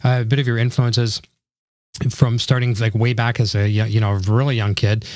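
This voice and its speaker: A middle-aged man with a slightly hoarse voice, hosting a casual conversational interview. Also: medium pitch, level tone, moderate pace, a little mellow, dry recording. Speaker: show host interviewing a guest.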